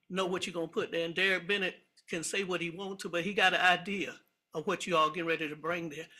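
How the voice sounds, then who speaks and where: A woman with a loud voice, making an earnest, emphatic public comment at a county meeting, with a slight frog in her throat. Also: fairly low pitch. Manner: earnest, emphatic, reads aloud from notes.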